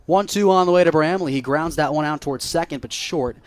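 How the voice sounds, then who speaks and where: A young American man works as a radio baseball play-by-play announcer. His voice sits a bit high for a man. He narrates the action live and builds excitement when a play develops. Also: brisk, no room sound. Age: young adult. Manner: rises into excited calls on big plays.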